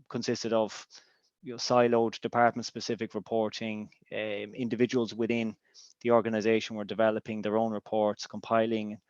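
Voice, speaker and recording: Irish man, native Irish speaker, low voice, hesitant webinar presenter, dry and dull audio. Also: clear, resonant tone, dry recording. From Ireland. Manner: hesitant, many ums and restarts. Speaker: business intelligence director.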